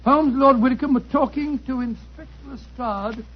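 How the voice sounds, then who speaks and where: An actor playing a genial, avuncular older doctor who tells stories and bumbles along, in 1940s radio drama style. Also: male voice, very high-pitched, moderate pace, a little hiss or hum. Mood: genial storytelling. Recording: dull, lo-fi 1940s radio recording.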